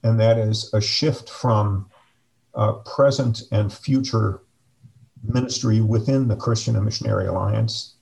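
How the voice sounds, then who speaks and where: Gravelly middle-aged man, slow and measured. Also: on the low side, steady tone, a little mellow, low-quality recording. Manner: slow, measured, hesitant with many fillers. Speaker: interview guest, district superintendent. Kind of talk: reflective interview over video call. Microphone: video call webcam.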